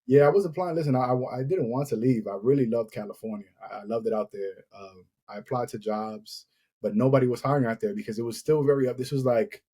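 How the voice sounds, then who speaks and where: A man's voice: medium pitch, fast, warm tone, slightly rough. A man in his thirties hosting a podcast, telling stories in a casual, rambling way with lots of "like" and restarts. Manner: storytelling, many restarts and "like". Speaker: podcast host. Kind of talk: casual chat.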